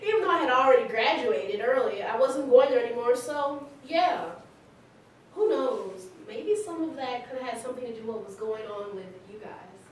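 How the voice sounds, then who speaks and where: In a very echoey room, far from the mic, a woman plays a frank, affectionate grown daughter. Her voice is high and lively as she chats through family memories in a staged reading, amused and candid. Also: normal speed, close to an everyday voice. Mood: reassuring, candid. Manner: chatty, animated storytelling, often laughing. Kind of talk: staged reading, conversational monologue and dialogue. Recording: very echoey hall, distant mic, faint noise.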